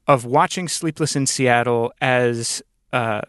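A man hosting a podcast interview in a thoughtful conversational style, with wordy, deliberate and articulate phrasing. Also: medium pitch, a bit bright, no echo, studio quality.

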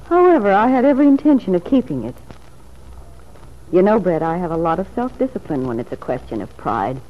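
An actress playing an anxious, tearful married woman in love, speaking earnestly and haltingly in an old radio soap opera. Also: lowish voice, sing-song. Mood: wounded, confiding. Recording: dull, hissy old radio recording.

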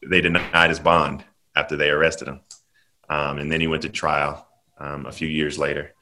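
Podcast guest, man, very low and flat, bright forceful tone. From South Carolina, US. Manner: earnest, measured storytelling with frequent ums. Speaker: guest political commentator. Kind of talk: podcast interview.

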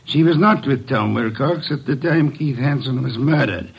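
An actor playing an imperious detective who speaks formally and precisely, in old-time radio mystery style. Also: male voice, medium pitch, normal speed, gravelly, slightly rough. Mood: stern, deliberate. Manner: commanding, formal, precise diction. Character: imperious, deliberate male detective, a mastermind unmasking a killer. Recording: muffled, dull old radio recording.

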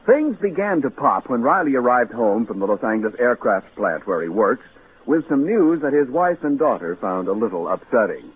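A man's voice: medium pitch, very animated, normal speed. A man announcing a 1940s radio show in a declamatory, scripted style. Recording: tinny, noisy 1940s radio recording.

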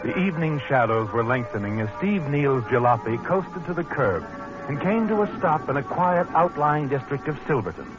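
An actor playing a knowing, sardonic narrator of a 1940s radio mystery, in a polished, insinuating, dramatic manner. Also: male voice, on the high side, very animated, normal speed. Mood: suspenseful. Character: knowing, sardonic male narrator addressing the schemer he watches. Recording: muffled old radio, music behind.

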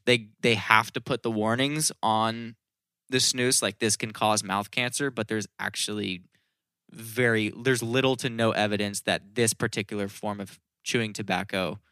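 A man with a fairly low, bright voice chats casually on a podcast. He explains things loosely and informally, swearing now and then. The recording is very dry. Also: steady tone, normal speed, smooth, no room sound. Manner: informal, profane, rambling explanations.

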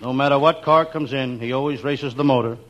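A man playing a wisecracking, down-on-his-luck private detective in a 1940s radio comedy, with deadpan, slangy delivery. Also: medium pitch, brisk, natural voice. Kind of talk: 1940s radio comedy acting, scripted first-person narration. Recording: muffled, very noisy old radio recording.

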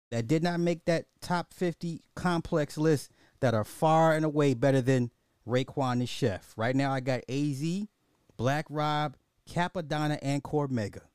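A man's voice: mid-range voice, a little mellow, no echo, clean studio sound. A man hosting a casual, opinionated commentary podcast in slangy, informal speech, thinking aloud and often repeating his own phrases. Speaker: podcast host.

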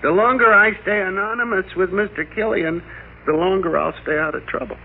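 An American actor playing a meek, henpecked office clerk in a hesitant, apologetic way, in old-time radio drama style. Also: male voice, high voice, brisk, vocal fry, phone-quality sound. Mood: mildly protesting. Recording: thin, noisy vintage radio recording.

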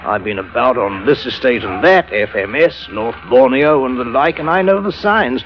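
Actor as hearty British colonial gentleman, very animated. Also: medium pitch, moderate pace, natural voice. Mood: hearty, confiding. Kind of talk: 1940s radio drama acting. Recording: muffled vintage radio recording.

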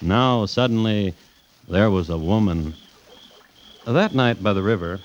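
A man's voice: fairly low pitch, a little slow, a little hiss or hum, lightly acted. A man narrating a classic radio drama as a wry, sentimental storyteller. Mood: wistful, dramatic. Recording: muffled old radio broadcast. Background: music.

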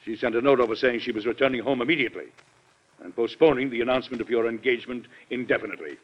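A man's voice: medium pitch, fairly even intonation, rapid-fire, close to an everyday voice. An actor playing a stern, weary President and exasperated father in an old-time radio drama, speaking formally and reproachfully. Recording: dull lo-fi vintage radio recording.

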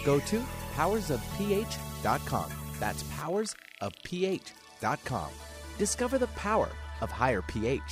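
An announcer reading a scripted health product commercial in a smooth, persuasive way. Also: medium pitch. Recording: ad with music bed.

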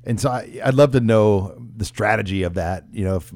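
Low-voiced American sports show host. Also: male voice, fairly quick, a little mellow, no room sound, studio quality. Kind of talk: conversational sports talk. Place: studio.

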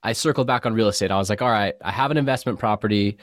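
A man's voice: lowish voice, rapid-fire, dry recording, clean studio sound. A man from southern California hosting a podcast in a casual, conversational interview style. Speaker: podcast host.

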